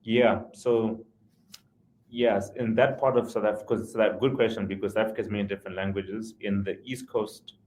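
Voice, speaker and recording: A man's voice: on the low side, steady tone, a little fast, darkish tone, poor audio. A man from Zimbabwe, a podcast guest, talking in a rambling, self-correcting way with frequent chuckles. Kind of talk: casual conversational interview.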